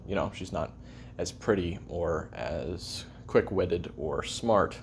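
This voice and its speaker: A man playing a weary, lonely, bitter assassin recording a halting, confessional audio-drama memoir in a gravelly voice. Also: low voice, darkish tone, a little hiss or hum, heavily acted. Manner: halting, brooding, self-deprecating, trailing off. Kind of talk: confessional audio roleplay monologue.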